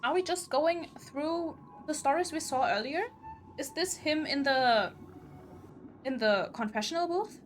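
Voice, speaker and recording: A woman's voice: high-pitched, unhurried, slight background noise. A woman streamer commenting live on a game, outspoken and emotionally invested as she reacts aloud. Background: faint game music.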